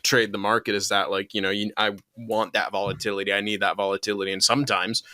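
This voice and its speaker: A man's voice: fairly low pitch, level tone, fast, pushed and bright, a little hiss or hum. A young man co-hosting a podcast, chatting loosely, swearing freely and laughing often. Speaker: podcast co-host. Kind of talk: casual chat.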